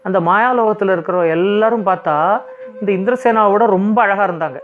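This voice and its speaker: High-voiced man telling a bedtime story. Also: fairly quick. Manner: conversational, explains simply. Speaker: children's storyteller. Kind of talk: informal bedtime story narration. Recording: thin phone-like sound, music behind.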